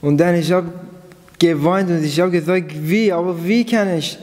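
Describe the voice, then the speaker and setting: A man from Iran giving a personal testimony in halting, phrasing, pausing after each short part. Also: high-pitched, darkish tone. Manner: simple non-native German, halting phrasing. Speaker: church member giving testimony. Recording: long hall reverb.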